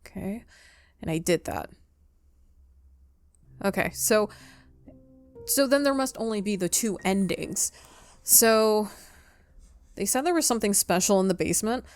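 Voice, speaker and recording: A woman's voice: mid-range voice, lively intonation, a little slow, pauses often. A woman giving casual, chatty gameplay commentary, talking affectionately to her viewers as host of her channel. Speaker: video game streamer, channel host.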